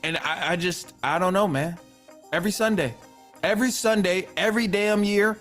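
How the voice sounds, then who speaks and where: A man's voice: high voice, moderate pace, a bit bright, soft background music. A man hosting a sports podcast, ranting casually in an exasperated, rambling way with slangy repeats and mild swearing. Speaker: podcast host. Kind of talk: casual sports rant to listeners.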